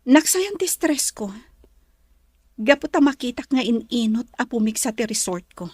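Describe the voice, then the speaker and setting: Casting: woman for soft-hearted but firm heiress, Filipino accent, fairly high pitch. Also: expressive, normal speed, slightly rough, no room sound. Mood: troubled. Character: gentle, troubled young heiress, apologetic but firm. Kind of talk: Ilocano drama acting.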